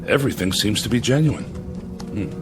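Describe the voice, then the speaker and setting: An actor playing an earnest, uncertain army major in a radio drama, speaking in a measured, deferential, thoughtful way. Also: male voice, lowish voice, lively intonation, close to an everyday voice. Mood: businesslike, intrigued. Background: music.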